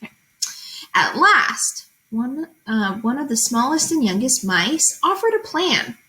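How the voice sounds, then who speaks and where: A woman's voice: sits high, very animated, unhurried, pushed and bright, rough recording. A woman reading fables aloud and explaining them as a teacher, in an informal, conversational way with guiding questions.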